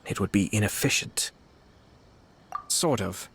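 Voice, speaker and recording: A man voicing a composed young noble official who speaks in a measured, formal, courteous way. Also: on the low side, creaky, fairly bright tone, slight background noise. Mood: calm. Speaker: clan head and commissioner. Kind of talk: video game voice acting.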